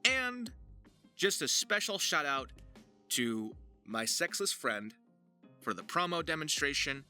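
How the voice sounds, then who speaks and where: A man's voice: on the high side, very animated, slow, pushed and bright, smooth, soft background music. A man hosting an informal voice-acting tutorial, talking straight to viewers like an earnest coach. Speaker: voice actor and video host.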